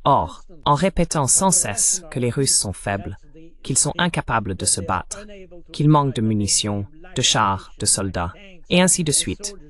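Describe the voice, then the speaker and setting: A man delivering a translated voice-over of a political interview as the guest analyst, in a measured, explanatory way. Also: mid-range voice, big pitch swings, fairly bright tone.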